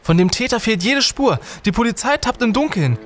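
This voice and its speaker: A man's voice: extremely high pitch, expressive, brisk, fairly bright tone, no echo, faint music behind. A young man playing a brainy, self-important student detective in an audio drama, speaking emphatically. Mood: excited. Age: young adult. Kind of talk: German audio drama in youth detective style.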